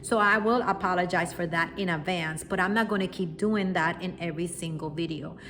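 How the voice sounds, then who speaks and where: A woman's voice: lowish voice, brisk, fairly bright tone, slight background noise. Earnest woman with a strong Puerto Rican accent. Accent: Puerto Rican Spanish. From Puerto Rico. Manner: earnest, reassuring, speaks directly to viewers. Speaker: spiritual teacher. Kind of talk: unscripted spoken lesson to camera. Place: at home, quiet room. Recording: music playing behind the voice.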